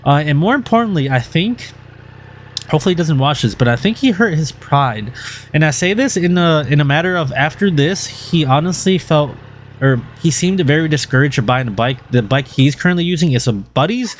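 A man's voice: fairly high pitch, lively intonation, fairly quick, slight background noise. A man narrating his motorcycle vlog in an earnest, rambling way with many fillers and restarts. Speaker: motovlogger host. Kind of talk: casual voice-over vlog narration.

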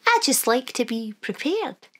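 A cheerful old granny from a children's storybook, voiced by a woman reading aloud. She speaks with a lilt, bright and high, and swoops up and down as she calls the children her darlings. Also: big pitch swings, measured pace, breathy edge, exaggerated voice. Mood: cheery.

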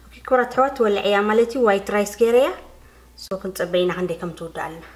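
A woman's voice: medium pitch, rapid-fire, darkish tone. A woman, a native Tigrinya speaker, chatting her way through a cooking demonstration at home and often speaking straight to her viewers. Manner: chatty, explains step by step, often addresses viewers directly. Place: home kitchen, indoors. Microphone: camera mic, speaker off-screen behind the camera. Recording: echoey home kitchen.

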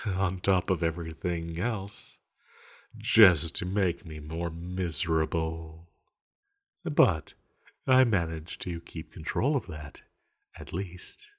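A man playing a banished sorcerer villain, sly, seductive and scheming, speaking in a teasing, theatrical manner to the listener in an audio roleplay. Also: heavily acted. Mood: intrigued, scheming. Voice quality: low-pitched. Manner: slow, teasing, theatrical, with lingering pauses.

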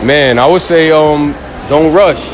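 A man's voice: on the high side, measured pace, over music. Laid-back young man, "you know". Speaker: interviewee. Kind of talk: casual answers. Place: indoor shopping mall. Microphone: interviewer's handheld phone.

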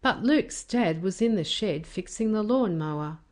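A woman reading a children's story as a careful, expressive narrator. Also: medium pitch, a little slow. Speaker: storybook reader.

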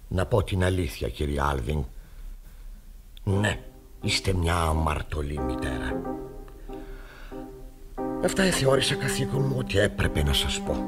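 A stern pastor in an old-fashioned theatrical radio style. His husky voice rises and falls dramatically. He moralizes formally and emphatically, as a man easily scandalized. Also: mid-range voice, pushed and bright, exaggerated voice. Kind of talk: Greek radio theatre acting.

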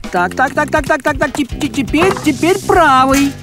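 A man's voice: very high-pitched, animated, unhurried. A man playing a sensible, scheming talking house cat in a cartoon, drawling and bossy. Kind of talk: animated cartoon acting. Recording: music under the voice.

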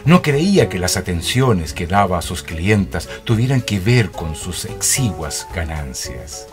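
An actor narrating a radio drama as a storyteller. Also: male voice, low-pitched, very animated, unhurried. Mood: storytelling. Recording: music behind the voice.

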